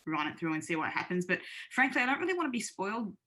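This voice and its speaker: A woman's voice: mid-range voice, big pitch swings, quick pace, sharp tone. A woman on a podcast panel chatting casually, candid and informal in how she gives her view. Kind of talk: casual chat.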